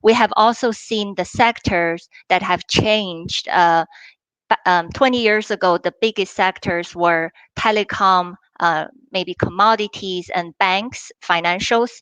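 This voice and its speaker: A woman, a fund manager from China, answering in a measured, explanatory way over a remote call. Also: medium pitch, a bit bright, clear, resonant tone, dry recording, rough recording. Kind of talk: webinar interview answers. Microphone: remote call line.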